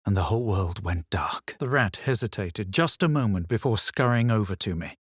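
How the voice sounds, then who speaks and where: An actor playing a self-assured, haughty fantasy adventurer in a video game, husky and dramatic in delivery. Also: male voice, lowish voice, animated, no room sound, studio quality. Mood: grave, measured. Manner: measured, dramatic, superior. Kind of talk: scripted game character lines, first-person narration and spell incantations.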